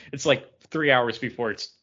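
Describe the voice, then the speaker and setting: A man's voice: mid-range voice, fairly bright tone, breathy edge, highs cut off. A man chatting casually and informally as a podcast co-host, with bantering delivery. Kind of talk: casual chat about comic books.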